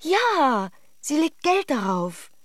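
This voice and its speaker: Slow-spoken, guarded married woman. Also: high-pitched, big pitch swings, no echo, clean studio sound, exaggerated voice. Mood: guarded, amused. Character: reserved, curious married Russian woman, hesitant and wary. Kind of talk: radio drama acting in intimate dialogue and monologue.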